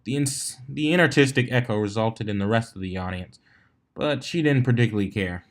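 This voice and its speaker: A man's voice: mid-range voice, fairly quick. A man narrating a written story as a fan fiction reader, now and then stumbling and rereading a phrase. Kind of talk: scripted story narration with character dialogue.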